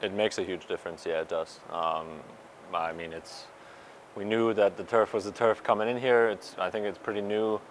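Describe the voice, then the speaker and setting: A man's voice: on the low side, steady tone, normal speed, slight background noise. A young man, a pro soccer player, answering reporters after a game, hesitant with many fillers and restarts. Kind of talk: postgame media scrum. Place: stadium media area in front of sponsor backdrop. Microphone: reporters' handheld mics and recorders held close.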